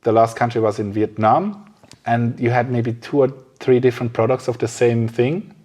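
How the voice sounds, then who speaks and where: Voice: medium pitch, fairly even intonation, normal speed, darkish tone. Podcast host chatting casually, hesitant phrasing. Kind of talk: casual conversation.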